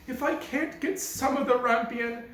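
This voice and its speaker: A man's voice: very high voice, fairly even intonation, a little slow, fairly bright tone, very echoey, far from the mic, pitched above the performer's own voice. A man voicing a miserable, pining fairy-tale wife in a plaintive, melodramatic manner. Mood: plaintive longing.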